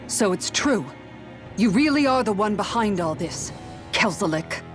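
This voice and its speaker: A woman's voice: mid-range voice, expressive, measured pace, over music, close to an everyday voice. An actress playing a stern, righteous warrior woman in a video game, speaking with earnest intensity. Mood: stern, accusing. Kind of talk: dramatic video game acting.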